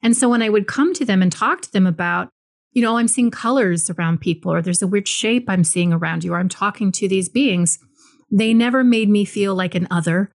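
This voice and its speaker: A woman from Hawaii, a spiritual teacher guesting on a podcast, telling her life story in a calm, reflective, earnest way. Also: medium pitch, brisk. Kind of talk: interview storytelling.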